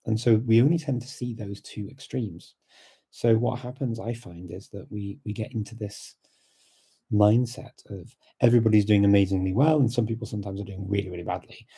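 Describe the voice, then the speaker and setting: Gravelly dark-voiced middle-aged man, webcam chat. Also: lowish voice, fairly even intonation, a little fast. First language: Dutch. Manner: thoughtful, with frequent ums and repeated words. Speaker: language learning channel host. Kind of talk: casual live chat answering viewer questions. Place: small indoor room with a plain wall. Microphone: webcam at desk, close framing.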